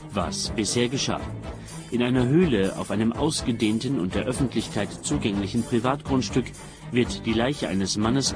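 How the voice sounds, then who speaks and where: A man announcing and recapping a radio play in a scripted narration style. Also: medium pitch.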